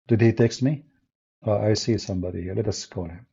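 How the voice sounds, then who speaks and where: Husky-voiced man hosting a livestream. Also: on the low side, a little fast, mellow. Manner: mocking, sarcastic, non-native English phrasing. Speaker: livestream host. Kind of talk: livestream talk with callers. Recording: dull lo-fi stream audio.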